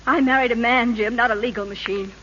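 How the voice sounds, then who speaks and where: A woman's voice: on the high side, steady tone, fast talker, lightly acted. A woman acting a governor's passionate, indignant wife in an old radio drama, earnest and insistent. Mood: sad, bitter. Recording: muffled, noisy vintage radio recording.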